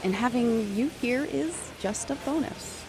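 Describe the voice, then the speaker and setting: An actress playing a warm, enthusiastic marine biologist in audio drama dialogue. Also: female voice, a little high, lively intonation, a little slow. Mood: affectionate. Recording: clear ambient noise behind.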